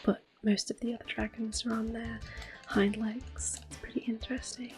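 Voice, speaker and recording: A woman giving soft-spoken, often whispered ASMR commentary as she plays through a video game. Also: on the high side, little pitch movement, normal speed. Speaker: host of an ASMR gameplay series. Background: game music.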